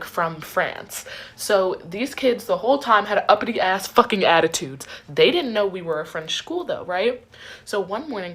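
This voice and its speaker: A woman with a husky voice tells a story with sass and profanity. Her delivery is animated and full of attitude. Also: medium pitch. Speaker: interviewee. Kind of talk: casual storytelling.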